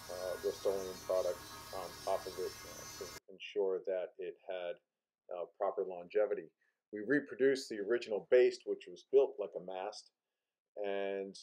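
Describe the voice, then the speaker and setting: A man in his thirties narrating a restoration project for his company in a steady, explanatory way, with many filler sounds. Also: on the low side, low-quality recording.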